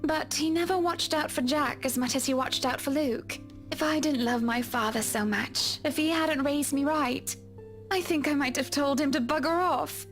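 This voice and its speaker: Actress as genteel wealthy lady, high voice, prim and refined, soft music under her. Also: moderate pace, close to an everyday voice. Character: poised, genteel wealthy woman, confident and fond. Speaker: game character. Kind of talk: voiced visual novel dialogue.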